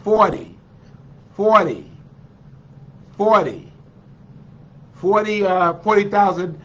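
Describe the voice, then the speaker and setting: A man's voice: sits high, big pitch swings, slow pace. A man with a congested, slightly nasal voice, hosting a casual livestream and chatting with his viewers. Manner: chatty and encouraging, with many fillers. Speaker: livestream host. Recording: dull lo-fi livestream audio.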